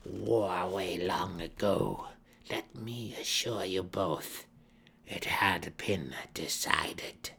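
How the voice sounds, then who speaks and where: A man voicing a withered old female dark elf matriarch, sly and imperious, in a measured, mysterious fantasy audiobook delivery. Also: low-pitched, gravelly, breathy edge, full character voice. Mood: stern, cross.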